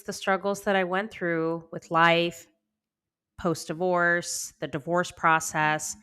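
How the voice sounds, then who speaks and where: A woman's voice: fairly low pitch, bright, forceful tone, clear, resonant tone. A woman in her thirties hosting a podcast, candidly telling her own story to listening moms, a little congested and nasal from a cold. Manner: candid, reassuring, speaks straight to listening moms. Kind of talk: personal storytelling monologue.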